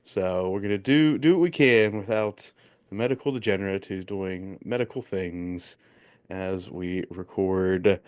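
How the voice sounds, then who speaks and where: A man co-hosting a casual sports betting podcast, joking and reading off records and picks in quick bursts. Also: sits low, a little mellow, smooth. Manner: jokey, recaps bets in quick bursts. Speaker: podcast co-host.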